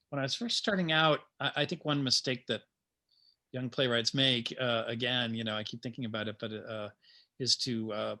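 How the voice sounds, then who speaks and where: A man speaking informally as a playwright on a panel, in a halting way with repeated words and trailing thoughts. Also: medium pitch, bright, forceful tone.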